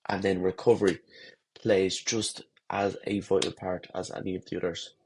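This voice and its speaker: A man with a head cold, congested and slightly husky, giving runners a relaxed, rambling talk-to-camera lesson with fillers and restarts. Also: low-pitched, moderate pace, slight background noise. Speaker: running coach.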